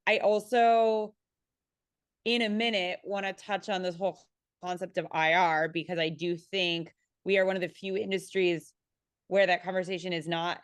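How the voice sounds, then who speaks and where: A young woman speaking as a panelist in a conversational, animated and self-deprecating way. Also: on the low side, normal speed, clean tone, no room sound, studio quality. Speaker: panelist, writer.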